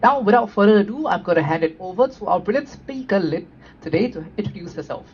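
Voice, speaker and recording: A woman's voice: medium pitch, expressive, fast talker, darkish tone, breathy edge. A woman hosting an online webinar, presenting slides upbeat and often adding "right?" to her points. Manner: upbeat, frequent tag questions. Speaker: webinar host. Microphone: video call. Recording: dull lo-fi audio with faint noise.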